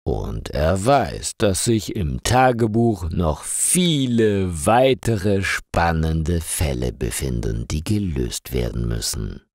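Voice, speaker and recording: A man narrating a scripted story for language learners, reading slowly and carefully articulated with lively intonation. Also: deep voice, no echo, studio quality.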